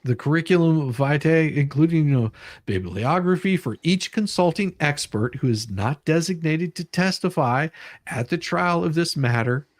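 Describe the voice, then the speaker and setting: A man's voice: a little high, animated, moderate pace, no echo, studio quality. A man hosting a livestream, reading legal documents aloud and explaining them casually, repeating himself for emphasis and occasionally swearing. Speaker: livestream host.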